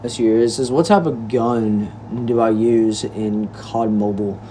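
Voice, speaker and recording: A man's voice: on the low side, steady tone, measured pace, mellow, a little hiss or hum. A nineteen-year-old man from Texas, reading viewer comments aloud on a livestream in an easygoing, chatty way. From Texas, United States. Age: young adult. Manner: laid-back, chatty, addresses viewers as bro and y'all. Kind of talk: casual livestream chat. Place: indoor room.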